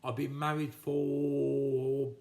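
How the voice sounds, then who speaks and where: A man's voice: mid-range voice, level tone, extremely slow, gravelly, dark tone. Chatty fifties man in an echoey kitchen. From England, Telford. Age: 50s. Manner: rambling and chatty, with frequent restarts. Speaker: vlogger answering viewer questions. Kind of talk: casual chat to camera. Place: home kitchen.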